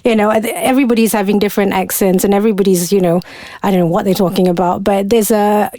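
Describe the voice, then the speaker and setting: A woman's voice: mid-range voice, fairly even intonation, fast talker, dark tone, dry recording, studio quality. A woman who grew up in England and hosts a podcast, talking in a chatty, easygoing way and laughing easily. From Malaysia. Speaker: podcast host. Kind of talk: casual chat.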